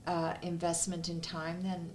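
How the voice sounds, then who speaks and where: Woman, interviewer, lowish voice, probing questions, faint rumble behind. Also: completely flat delivery, measured pace. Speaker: interviewer, business co-founder. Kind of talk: casual interview.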